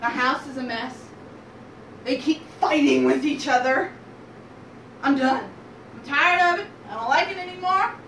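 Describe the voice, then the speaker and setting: A woman acts a storybook mama pig who is completely fed up and laying down the law. Her high voice comes in blunt, emphatic bursts in a noisy, echoey room. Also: measured pace, pauses often, a bit bright. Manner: emphatic, short blunt phrases. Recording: roomy, distant mic, noisy background.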